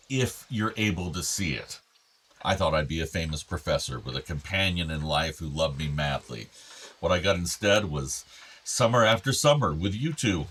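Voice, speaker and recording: Low, husky aging father. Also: heavily acted. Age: older. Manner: reflective, gently earnest. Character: ailing, tender, wistful aging father of two grown sons. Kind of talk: radio play acting.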